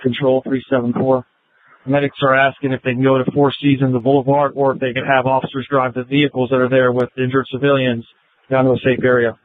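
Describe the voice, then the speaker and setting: A man's voice: mid-range voice, monotone, fast talker, no echo. A male police officer relaying messages over a police radio. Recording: tinny narrowband police scanner radio audio.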